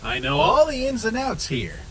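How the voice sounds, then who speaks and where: A man's voice: very high-pitched, huge pitch swings, normal speed, noisy background, exaggerated voice. An actor voicing a cocky, teasing young male rabbit animatronic in a comic dub. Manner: teasing, playful.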